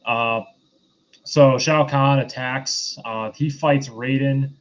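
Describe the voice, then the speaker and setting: A man hosting a casual film review discussion, speaking in a halting, hesitant way. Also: medium pitch, fairly even intonation, highs cut off. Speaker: podcast host.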